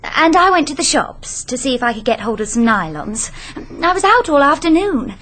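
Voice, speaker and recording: A woman's voice: high voice, very animated, normal speed, fairly bright tone. An actress playing a glamorous, flighty, money-minded young woman in a radio drama, with an upper-class English accent and gushing, theatrical delivery. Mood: eager, grasping.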